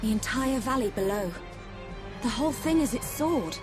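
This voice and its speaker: A woman's voice: fairly high pitch, lively intonation, moderate pace, over music, natural voice. A woman playing a poised young noblewoman. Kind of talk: video game cutscene acting.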